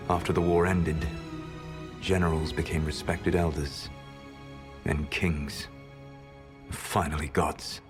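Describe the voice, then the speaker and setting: A man voicing an ancient elven trickster god in a video game, grave, proud and regretful, speaking in measured, formal phrases. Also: rumbling low. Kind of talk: dramatic video game dialogue.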